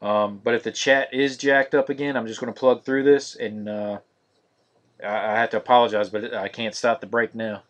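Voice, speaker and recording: A man hosting a live-streamed card break, naming each card in a steady, monotonous voice. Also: lowish voice, normal speed. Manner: monotonous, steady listing of cards.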